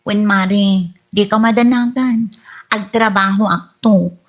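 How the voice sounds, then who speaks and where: A woman's voice: mid-range voice, steady tone, takes it slowly. A woman playing a clingy, grieving gold-digger girlfriend with a whiny, lamenting delivery in a comedy radio drama. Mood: glum, reluctant.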